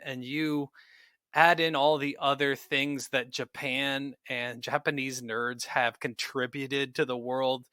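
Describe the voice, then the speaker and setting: A man's voice: mid-range voice, bright, forceful tone, no room sound, clean studio sound. A man co-hosting a podcast in casual, enthusiastic review chat, speaking in an earnest, effusive way. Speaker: podcast co-host.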